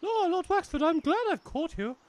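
Woman playing a high-pitched earnest English schoolmistress. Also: lively intonation, moderate pace, darkish tone, dry recording. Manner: earnest, wordy. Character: earnest, polite new-age English village schoolmistress. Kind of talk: comic audio drama acting.

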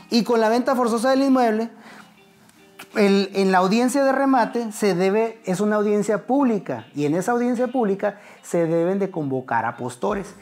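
A man's voice: high voice, a little mellow. Mexican man explaining at a whiteboard. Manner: conversational, addresses viewer directly, explains step by step; standing and gesturing at a whiteboard. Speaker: real estate educator. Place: indoor studio set.

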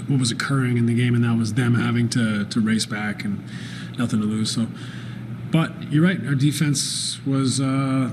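A man in his forties, a basketball head coach answering postgame press questions in a calm, thoughtful way, often pausing with fillers and restarting phrases. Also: mid-range voice, background noise. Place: press room with sponsor backdrop, indoors. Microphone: desk microphone at arm's length.

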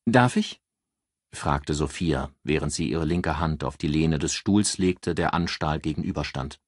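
A man reading an audiobook as narrator, with expressive scripted delivery. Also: rumbling low, normal speed, breathy edge, no room sound, clean studio sound.

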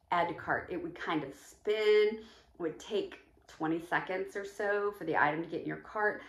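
A woman's voice: mid-range voice, fairly bright tone. A middle-aged woman chatting casually to camera at home, rambling and counting items aloud as she shows a shopping haul. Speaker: vlogger showing a shopping haul. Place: echoey room at home. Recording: echoey home room, faint noise.

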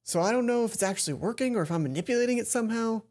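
A man reading the lines of an excited young male student inventor from a visual novel, fast and earnest. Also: very high-pitched, pitched above the performer's own voice.

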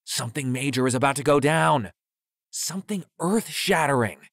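A man voicing a hyper, excitable teenage stoner conspiracy theorist in an audiobook, with an emphatic cadence. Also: mid-range voice, normal speed, vocal fry, dry recording, clean studio sound, pitched above the performer's own voice. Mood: breathless alarm. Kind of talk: audiobook character acting.

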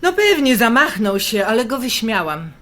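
An actress playing a blunt, bitter adult sister in an audio drama, with a sardonic, needling way of talking. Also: female voice, fairly high pitch, big pitch swings, normal speed, fairly bright tone. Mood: sardonic, confiding. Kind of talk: naturalistic audio drama dialogue.

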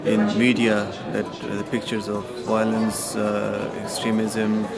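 A man in his thirties from Afghanistan, speaking slow, measured English full of pauses and fillers. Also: lowish voice, monotone, over loud music. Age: 30s. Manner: measured, many drawn-out uh fillers. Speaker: interviewee. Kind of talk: personal testimony interview. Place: indoor meeting room.

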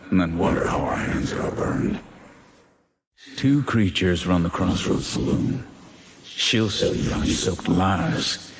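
An actor playing a grim, vengeful demon-possessed cowboy gunslinger in a video game, brooding and cool with a drawl and a demonic growl. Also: male voice, noisy background. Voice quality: low drawl with demonic growl layered on words.

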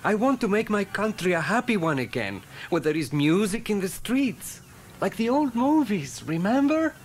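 A man's voice: sits high, sing-song, moderate pace, a little hiss or hum. An actor voicing an earnest, idealistic male revolutionary, speaking in formal, stiff English in an early 1980s radio play.

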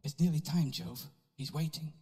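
A man's voice: a little high, steady tone, normal speed, breathy edge, voice pushed up. A man voicing an insistent game cutscene character in a raised chipmunk voice. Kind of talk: scripted game cutscene dialogue. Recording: loud noisy ambience behind voice.

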